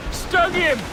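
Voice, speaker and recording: An actor playing an eager, rough-hewn whaling crewman in a video game, calling out excitedly in shouts. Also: extremely high pitch, full character voice. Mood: gleeful. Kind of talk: video game character barks. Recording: sea and boat noise. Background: water and boat ambience.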